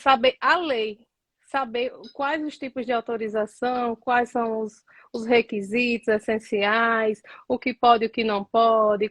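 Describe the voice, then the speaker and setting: A woman from Northeast Brazil, a lawyer guest, explaining earnestly in a live interview with frequent filler pauses. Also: fairly high pitch, fairly bright tone, dry recording.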